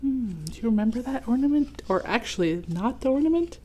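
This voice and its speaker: A woman reading a picture book aloud to children, expressive and often turning to speak directly to the young listeners with questions and explanations. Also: fairly high pitch, brisk. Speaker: read-aloud host for children.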